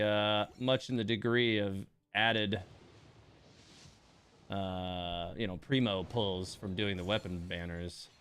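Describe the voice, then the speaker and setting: A man's voice: on the low side, fairly bright tone, slight background noise. A man hosting a game livestream in casual chat, muttering exasperated asides to himself and his viewers. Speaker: livestream host playing a gacha game.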